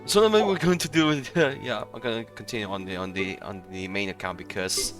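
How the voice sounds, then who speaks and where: A man giving casual, rambling playthrough commentary on a game, often halting and chuckling between phrases. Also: lowish voice, music in the background. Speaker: gaming streamer.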